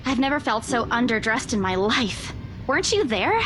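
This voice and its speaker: A woman's voice: on the high side, big pitch swings, moderate pace, fairly bright tone, a little hiss or hum. A woman playing an exasperated, sarcastic young real estate agent in a visual novel, bantering emphatically. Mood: dry, exasperated.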